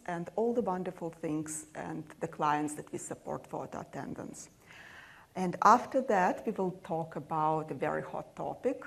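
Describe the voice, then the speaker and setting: Soft-voiced woman with gravelly, low tone. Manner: explanatory, steady delivery with frequent "uh" fillers. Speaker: conference presenter. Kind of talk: technical conference presentation. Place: conference session room.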